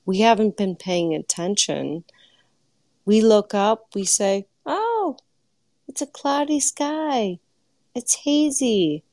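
A woman phones in to a live show and speaks in a hesitant, giggly way. She talks at a slow pace. The sound is dry and close to the mic. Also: mid-range voice, animated, a little mellow, dry recording. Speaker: caller.

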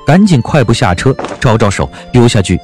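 A man narrating a story as an audiobook narrator, in a scripted, expressive and measured dramatic style. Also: mid-range voice, faint music behind.

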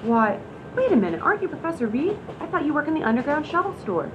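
Here is a scatterbrained, evasive lady passenger played by a woman in a radio comedy. Her voice is high and swings up and down with cheeky energy. The recording is muffled, with train rumble behind. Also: sing-song, quick pace.

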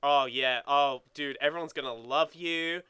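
A man's voice: on the high side, normal speed, sharp tone, clear, resonant tone. A young man in casual gaming banter as a let's play co-host, rambling with lots of "like" and swearing. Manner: rambling, filler-heavy with frequent "like".

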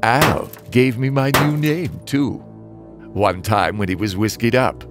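A man playing a bitter, haunted demolition derby driver who narrates his story in a slow, brooding, theatrical way for a motion comic. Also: medium pitch, natural voice. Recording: music bed behind the voice.

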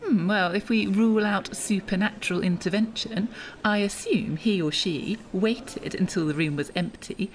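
A woman's voice: medium pitch, moderate pace, a little hiss or hum, close to an everyday voice, poor audio. A woman acting as a prickly, guarded museum official in an audio drama, sarcastic and condescending. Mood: sardonic, defensive.

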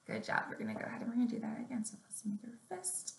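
A young woman leading a story time for small children, reading aloud and giving simple instructions in a measured, deliberate way. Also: on the high side, fairly even intonation, gravelly, whispered. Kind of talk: picture-book reading and finger plays for young children. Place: small room indoors at home or office. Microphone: webcam or laptop mic at arm's length. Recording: roomy, echoing webcam sound.

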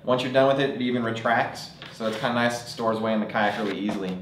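A man's voice: mid-range voice, quick pace, roomy sound, heavy background noise. A young man presenting fishing products at a table in a studio, talking casually with frequent fillers. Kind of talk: product demonstration.

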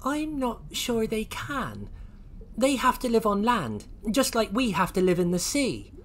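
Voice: medium pitch, sing-song, measured pace, a bit bright, slight background noise, voice pushed up. A storyteller voicing an innocent, wistful young male sea creature with an earnest, childlike way of talking in a children's story. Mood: downcast.

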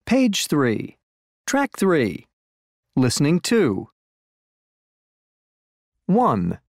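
A man reading scripted language-course lines with clear enunciation. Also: a little high, wildly swooping pitch, extremely slow, no echo, studio quality, heavily acted. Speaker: announcer and dialogue actor.

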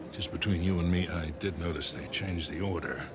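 A man's voice: low voice, normal speed, background noise, lightly acted. An actor playing a dry-witted aging male smuggler who talks wryly and chuckles often. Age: older. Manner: wry, chuckling. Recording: game audio under background music.

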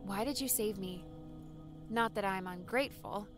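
A woman's voice: mid-range voice, normal speed, over music, lightly acted. A woman playing an earnest, encouraging young woman in a dramatised audiobook. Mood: grateful. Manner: earnest, reassuring.

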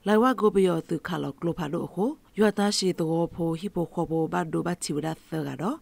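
A woman's voice: lowish voice, brisk, vocal fry, a little mellow, husky, no echo. A woman reading scripted news for the radio. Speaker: news reader.

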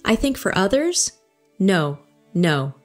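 A man voicing a stern, coolly guarded woman who keeps a wine shop, curt and quietly menacing. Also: high-pitched, big pitch swings, takes it slowly, faint music behind, pitched above the performer's own voice, exaggerated voice.

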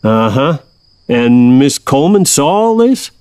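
Audio drama father: middle-aged, folksy, cautious, animated intonation, very dry recording. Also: mid-range voice, unhurried, clean tone, no room sound. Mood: gently teasing. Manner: folksy, homespun.

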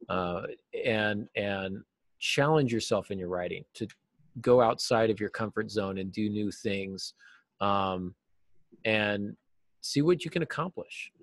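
A middle-aged man giving conversational advice as an interview guest, often pausing mid-thought as he phrases things. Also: fairly low pitch, dry recording. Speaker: guest, novelist and podcast host. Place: small cluttered office, indoors.

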